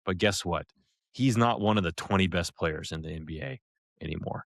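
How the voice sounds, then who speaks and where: Blunt, low-voiced American man. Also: fairly quick, creaky, no room sound, clean studio sound. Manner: opinionated, blunt, emphatic. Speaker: podcast co-host. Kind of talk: casual podcast chat.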